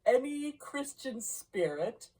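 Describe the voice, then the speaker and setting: A grave, tormented storybook ghost, acted out by a woman, bound in chains and full of sorrow. The words come out very slowly in long, loud, declamatory wails, with the pitch lifted above a soft-toned base. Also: big pitch swings, heavily acted. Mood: anguished. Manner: slow, declamatory, drawn-out wailing. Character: anguished male ghost in chains, mournful and grave.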